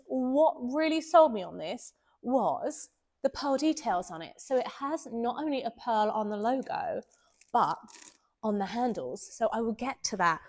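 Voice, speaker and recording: Nasal, stuffy-voiced woman reviewing a handbag. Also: fairly high pitch. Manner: chatty and enthusiastic. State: nasal from a head cold. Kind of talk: casual product review vlog.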